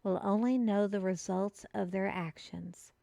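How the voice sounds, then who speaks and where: A woman's voice: medium pitch, fairly even intonation, measured pace, vocal fry, warm tone, dry recording. A woman playing an earnest, easily offended messenger who reads out a prophecy in a theatrical, solemn fantasy audio roleplay. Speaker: voice actor in solo audio roleplay. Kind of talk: theatrical one-sided audio roleplay for a listener.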